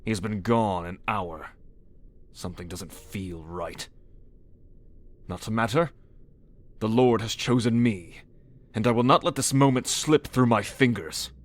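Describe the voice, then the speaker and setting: A man's voice: lowish voice, measured pace, long pauses, lightly acted. A man playing a zealous, sadistic servant and executioner in a dramatic audio roleplay, with a grandiose, menacing delivery. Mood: uneasy, resolute.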